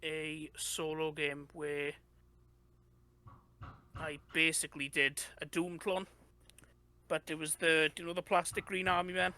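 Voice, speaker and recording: A man's voice: on the high side, flat delivery, unhurried, pauses often, sharp tone. A young man with a Welsh accent, a game developer chatting casually as an interview guest, rambling with lots of fillers and restarts. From Wales. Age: young adult. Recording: noisy background.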